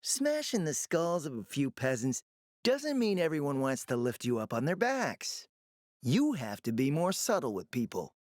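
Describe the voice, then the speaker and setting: Pompous, swooping-voiced decadent fixer. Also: male voice, on the high side, huge pitch swings, moderate pace, no room sound, studio quality. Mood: mocking, teasing. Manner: pompous, theatrical, condescending, savoring his words. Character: smug, decadent, flirtatious male gourmand fixer and supplier. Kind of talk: video game character acting.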